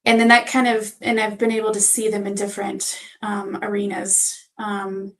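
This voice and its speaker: A woman guest on a panel explaining things informally as a health professional, in measured phrases with frequent pauses. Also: mid-range voice, monotone, a bit bright. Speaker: physician assistant, panel guest.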